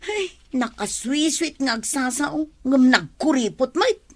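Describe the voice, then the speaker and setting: A woman playing a sharp-tongued, defensive elderly wife bickering in an Ilocano radio drama. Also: sits high, lively intonation, a little slow, heavily acted. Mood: defensive, scolding.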